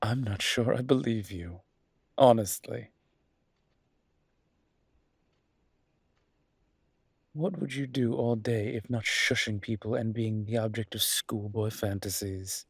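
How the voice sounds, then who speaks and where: A man playing a charming, teasing mafia boss who flirts politely in an intimate roleplay audio drama, with playful pauses. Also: lowish voice, gravelly, slightly rough, no room sound. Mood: amused banter.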